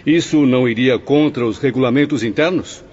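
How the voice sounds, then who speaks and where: An actor playing a skeptical gentleman patient who questions things formally in an audiobook drama. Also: medium pitch, a little fast, husky, natural voice. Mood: puzzled, challenging. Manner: formal, challenging. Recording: dull audio in a long-reverb hall.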